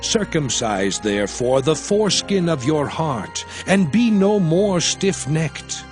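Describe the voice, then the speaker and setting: A solemn prophet who speaks slowly and deliberately, his tone rising and falling widely as he exhorts his people. The voice sits fairly high for a man, with soft music underneath. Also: big pitch swings. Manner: declamatory, measured, solemn. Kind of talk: dramatized scripture reading.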